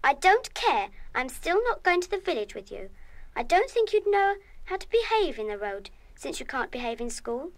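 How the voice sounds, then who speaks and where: Bossy little british girl, really high swoopy voice, taunting, radio play. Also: big pitch swings, normal speed. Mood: scornful. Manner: snippy, taunting. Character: scornful, bossy young schoolgirl. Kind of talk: full-cast radio play acting.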